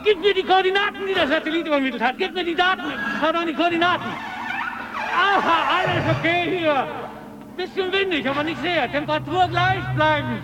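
A man's voice: very high-pitched, brisk, very noisy. An actor playing a dogged male expedition adventurer in a radio play, calling out in short, repeated phrases. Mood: urgent, businesslike.

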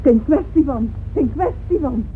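A woman's voice: high-pitched, animated, a little slow, a little hiss or hum. An actress playing a wistful, devoted middle-aged mother in a radio drama, with a lingering, yearning delivery. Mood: indignant. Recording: old tinny band-limited radio play with music. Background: music.

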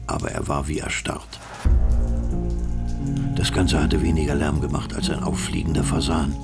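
A man narrating a radio crime drama as a grave storyteller, measured and suspenseful. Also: a bass voice, flat delivery, gravelly, over loud music.

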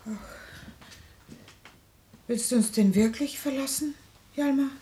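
A woman's voice: high voice, takes it slowly, warm tone. An actress playing an anxious, caring wife and mother in a radio play, fussing solicitously. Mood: pleading. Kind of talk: German radio play acting.

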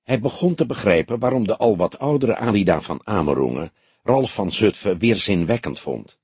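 A man narrates a crime audiobook in a husky voice of middle pitch. His delivery is lively and varied, and the recording is very dry and close. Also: normal speed, dry recording. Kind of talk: scripted literary narration of a crime novel.

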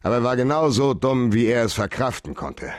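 An actor playing a hard-boiled, cynical private detective in a radio crime drama, speaking in a terse, sardonic, interrogating manner. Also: male voice, medium pitch, expressive, a little fast, no echo, studio quality, close to an everyday voice. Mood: coldly accusing. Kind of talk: 1990s German radio crime drama acting.

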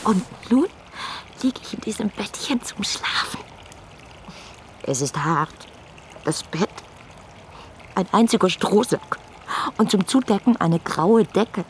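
A woman's voice: medium pitch, expressive, slow, pauses often, slight background noise. An actress playing an ardent young woman writing letters to the poet she loves, in a lyrical, impassioned radio drama delivery. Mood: intimate, confiding. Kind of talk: literary German radio play, letters read aloud.

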